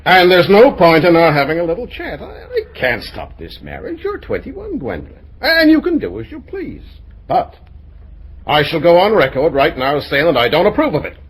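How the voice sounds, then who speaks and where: An actor playing a gruff, blunt, middle-aged businessman father with a pompous, blustering manner in an old radio drama. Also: on the high side, huge pitch swings, normal speed, heavily acted, poor audio. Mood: grumbling, disapproving. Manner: blustering, pompous, hesitating with ums. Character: gruff, blunt older father and plant owner, disapproving.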